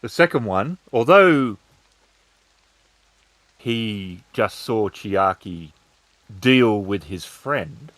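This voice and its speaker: Male game master for tabletop storytelling, slow delivery, close and dry recording. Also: lowish voice, animated, a bit bright, dry recording.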